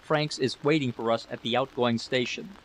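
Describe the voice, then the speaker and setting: A man's voice: medium pitch, fairly even intonation, normal speed, natural voice. A man voicing a brusque, suspicious project leader in a story reading, curt and commanding, on a noisy recording.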